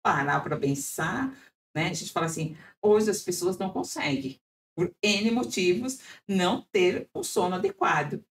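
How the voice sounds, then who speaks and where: A woman's voice: fairly low pitch, animated, moderate pace. A woman podcast host with a Brazilian Portuguese accent, reflecting aloud and often seeking agreement with tag questions. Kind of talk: podcast interview.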